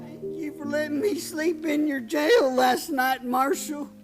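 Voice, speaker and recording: A woman acting a simple, gentle drifter man and former soldier in a Western radio play, with naive, folksy American speech. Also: high voice, steady tone, unhurried, music in the background. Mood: meek, aimless. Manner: naive, plain-spoken, folksy rural speech.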